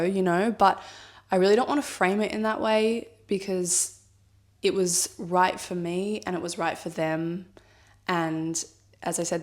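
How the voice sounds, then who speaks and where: A woman in her thirties speaks in a fairly low voice, a touch husky from a cold. She muses out loud to camera in a personal vlog, thoughtful and rambling, leaning on fillers like "like" and "I guess.". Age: 30s. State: has a cold, slightly husky. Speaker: vlogger. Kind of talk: reflective personal vlog talk.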